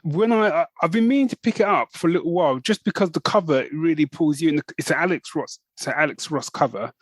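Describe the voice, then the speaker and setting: A man's voice: fairly high pitch, fast, dry recording. A man co-hosting a podcast, chatting casually and rambling with restarts. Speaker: podcast co-host. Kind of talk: casual chat.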